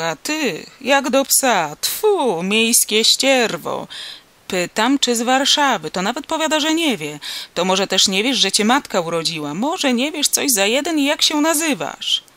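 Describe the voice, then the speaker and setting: A storybook reader voicing a gruff, irritable, suspicious peasant man who scolds in rustic, exclamatory speech. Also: medium pitch, big pitch swings, unhurried, bright, forceful tone, dry recording, pitched above the performer's own voice, full character voice. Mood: indignant, scolding.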